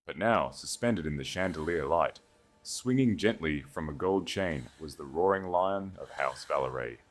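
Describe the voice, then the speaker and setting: A man's voice: extremely low pitch, lively intonation, moderate pace, soft background music. A man reading a scripted romantic fantasy tale as a dramatic audiobook narrator.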